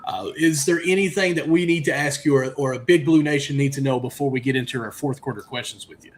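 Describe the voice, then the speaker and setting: A man's voice: medium pitch, quick pace, slight background noise. A man hosting a casual sports podcast, asking long, rambling questions full of fillers. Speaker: podcast host.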